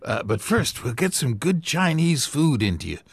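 A man voicing a gruff, cynical male newspaperman in a storybook reading. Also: on the high side, big pitch swings, normal speed, no room sound, pitched above the performer's own voice, heavily acted. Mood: dismissive.

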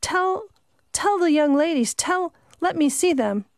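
A desperate young woman, played by a woman reader begging at a shut door, pleading and breathless. Her voice rises high and strained. The recording is close and dry. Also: unhurried, no echo.

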